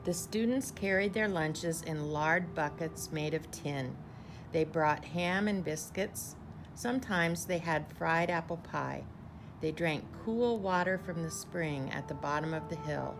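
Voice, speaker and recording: A woman reading a children's picture book aloud like a teacher, with measured, deliberate storytelling and clear phrasing. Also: low voice, noisy background.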